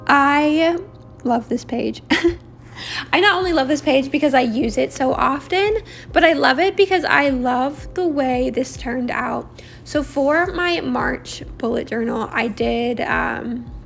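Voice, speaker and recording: A young woman from Australia with a raspy, harsh throat, chatting casually over her drawing with lots of fillers and rambling asides. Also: high-pitched, slow, fairly bright tone, soft background music. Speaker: bullet journal vlogger.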